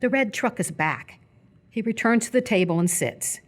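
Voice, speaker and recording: A woman narrating a live radio theatre script, reading stage directions in a measured, descriptive way. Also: medium pitch, expressive.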